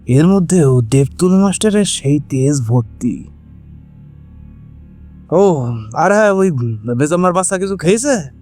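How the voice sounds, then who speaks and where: A man's voice: sits high, expressive, unhurried, long pauses, a little mellow, faint music behind. A man acting a ruthless, scheming occult villain in a gloating, menacing manner for an audio horror drama.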